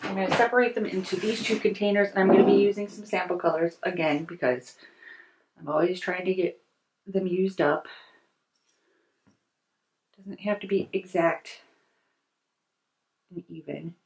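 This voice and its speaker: A middle-aged woman hosting a soap-making video. She talks through each step in a chatty way while she mixes at her kitchen table, sometimes softly and sometimes brightly. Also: on the low side, normal speed, creaky, pauses often, noisy background. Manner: chatty, thinks aloud while mixing, varies from soft to lively. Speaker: soap-making channel host. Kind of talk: casual how-to narration while working. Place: home kitchen table, overhead camera.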